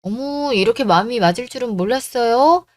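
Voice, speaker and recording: A woman's voice: on the high side, animated, brisk, dry recording, natural voice. A woman voicing a plucky, assertive young bride-to-be with a cutting manner in a radio drama story. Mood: scolding, resolute. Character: bold, defiant young bride-to-be, plucky daughter.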